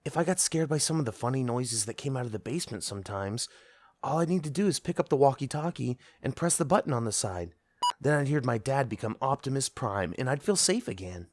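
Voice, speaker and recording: A man reads a scripted story in the first person as a child. His voice is medium in pitch with lively, swinging intonation, and his manner is earnest and boyish. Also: a little fast, no echo. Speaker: narrator.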